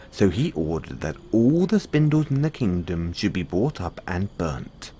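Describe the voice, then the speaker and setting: A man reading a fairy tale aloud as a bedtime storyteller, in an expressive scripted narration. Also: sits low, noisy background.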